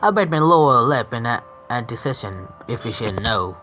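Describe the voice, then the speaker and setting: A man streaming a visual novel game, reading the narration aloud haltingly, stumbling over words and correcting himself. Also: mid-range voice, expressive, sharp tone, a little hiss or hum.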